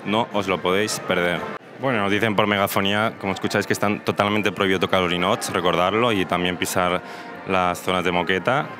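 A man's voice: deep voice, fairly even intonation, a little fast. Enthusiastic man, music underneath. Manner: enthusiastic, addresses viewers directly. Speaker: vlogger. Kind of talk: walking tour vlog. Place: crowded indoor exhibition hall. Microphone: handheld mic held at the mouth.

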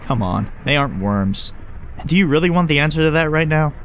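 A man's voice: on the high side, lively intonation, fairly quick, fairly bright tone, slight background noise. A man playing a wry, teasing creature that passes as a human mentor in a horror audio drama. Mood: wry, breezy. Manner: wry, breezy, teasing, coaching. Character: flippant, chummy man who is secretly a swarm of bugs.

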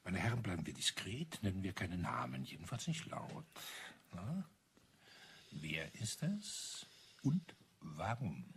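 An actor playing a retired army colonel in a radio crime play, an older man with a husky, raspy voice and a blunt, musing manner. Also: low voice, big pitch swings, slow pace, a bit bright, slight background noise, exaggerated voice. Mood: provocative, sly. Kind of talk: 1980s German radio crime play acting.

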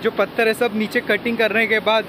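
High-pitched young man vlogging in noise. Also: flat delivery, brisk. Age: young adult. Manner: inquisitive, repeats what he is told back. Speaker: vlogger, host. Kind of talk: casual on-location vlog commentary and questions. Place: outdoors in an open stone quarry. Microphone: handheld phone at arm's length. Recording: loud outdoor machinery and vehicle noise.

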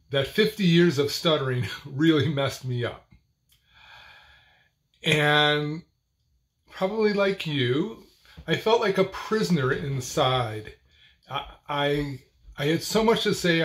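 Slow, deliberate older man talking to camera. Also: mid-range voice, fairly bright tone. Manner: slow, deliberate phrasing with pauses. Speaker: host of a video series on stuttering. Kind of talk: personal talk to camera. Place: small indoor room at home.

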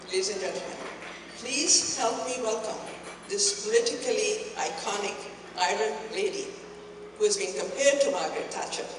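A middle-aged woman giving a measured, declamatory formal introduction at a podium microphone in a banquet hall. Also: mid-range voice, lively intonation. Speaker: speaker introducer. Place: large banquet hall, indoors. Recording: echoey hall, distant mic, noisy.

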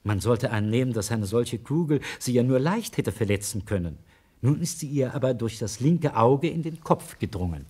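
An actor in a radio crime play as an anxious, earnest village schoolteacher who speaks haltingly. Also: male voice, medium pitch, animated, darkish tone, breathy edge. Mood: uneasy explaining. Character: anxious, hesitant village schoolteacher, a married man under suspicion. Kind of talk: German radio play acting.

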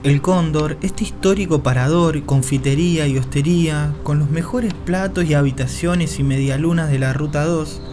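A man narrating a sad story in a solemn, measured, scripted way. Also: fairly high pitch, darkish tone, faint music behind.

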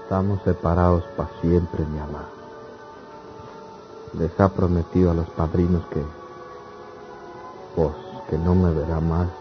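A man acting a heartbroken young rural lover in a radio melodrama, halting and pleading. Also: low-pitched, little pitch movement. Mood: despairing. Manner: halting, repeats words, pleading. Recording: dull lo-fi radio drama, loud music.

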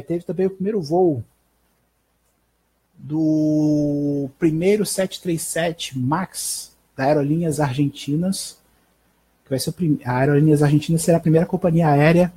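A Brazilian man in his thirties with a husky voice, hosting a casual live news stream in a halting, informal way on a headset mic. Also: a little high, dark tone. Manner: halting, informal, frequent check tags. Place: small bedroom at home. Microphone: headset microphone.

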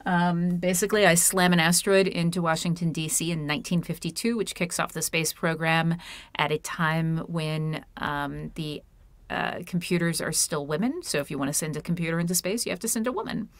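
A woman in her thirties speaks as a guest on a home video call with a wired earphone mic. Her voice sits a little low, and the sound is dry and close, with no room around it. She talks loosely and self-deprecatingly, with plenty of ums and restarts. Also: a little fast, no echo. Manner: chatty, self-deprecating, many fillers. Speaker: author and puppeteer guest. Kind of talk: casual video-call interview. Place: home room with a red curtain.

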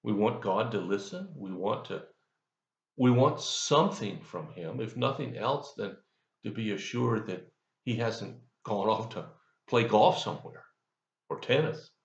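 A man's voice: lowish voice, animated, measured pace, roomy sound, lo-fi sound. An older man giving a folksy, conversational devotional as a pastor, asking the listener questions, recorded on a webcam in his office. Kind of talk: devotional sermon. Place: office, indoors, seated in leather chair.

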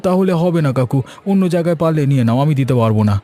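A man voicing a brisk, defensive young village vegetable seller haggling in a cartoon story. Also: on the high side, animated, dark tone, a faint crowd, lightly acted. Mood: firm, haggling. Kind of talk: animated cartoon story dialogue.

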